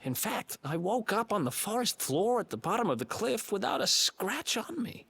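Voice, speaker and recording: A man's voice: sits high, very animated, moderate pace, gravelly, sharp tone, a little hiss or hum, exaggerated voice. An actor playing a young male thief in a video game, breezy and wry in manner, with game music behind him. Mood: laid-back. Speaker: companion character in a video game.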